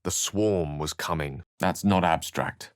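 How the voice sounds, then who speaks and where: An urbane British wizard from a fantasy video game, pitched low and recorded very dry and close. He is eloquent and courteous, with a wry, bookish manner and theatrical turns of phrase. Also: slow pace, clean tone, no echo. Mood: measured storytelling. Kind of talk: video game character voice acting.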